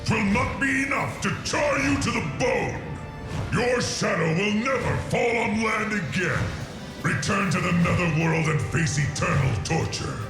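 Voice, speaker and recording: A man's voice: high-pitched, measured pace. A man voicing a proud, vengeful dragon in a fantasy game, theatrical and menacing. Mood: enraged. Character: vengeful proud male dragon, friend of a slain dragon. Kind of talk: dramatic fantasy game acting. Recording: echoing voice over loud music.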